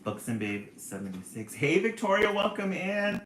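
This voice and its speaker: Guy in his 40s doing a live stream, reading chat out loud, really bouncy voice, echoey room. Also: sits high, very animated, normal speed, a little hiss or hum. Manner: chatty, reads viewer comments aloud, laughs often. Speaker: livestream host. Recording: roomy echo.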